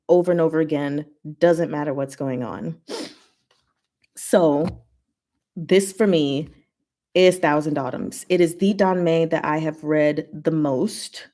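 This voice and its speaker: A woman hosting a casual chat and Q&A, reading and answering comments in a giggly way with emphatic short verdicts. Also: on the low side. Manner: giggly, animated asides with emphatic one-word verdicts. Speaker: host of a chat and Q&A stream.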